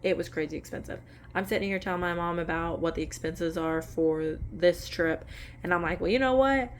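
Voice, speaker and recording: A woman's voice: fairly low pitch, brisk, a little hiss or hum. A woman in her late twenties chatting casually while sorting cash into budget envelopes, rambling and correcting herself with small laughs. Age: late 20s. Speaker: budgeting vlogger.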